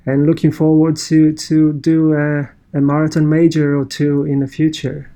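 A Polish-accented man with a somewhat raised voice for a man tells his story in an easy, conversational way. He talks haltingly, repeats words, and restarts his sentences as he goes. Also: fairly high pitch, completely flat delivery, mellow. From Poland. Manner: halting, many restarts and fillers.

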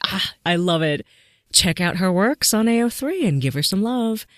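A woman's voice: mid-range voice, moderate pace, dry recording, studio quality. A woman hosting a podcast interview, effusive and encouraging as she wraps up and signs off. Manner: warm, effusive hosting, "so exciting". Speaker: podcast host.

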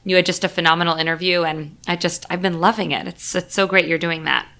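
A woman's voice: fairly low pitch, steady tone, quick pace, creaky, bright, forceful tone. A woman hosting a podcast interview in a casual, chatty way, effusive and informal in how she talks. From United States. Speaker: podcast host.

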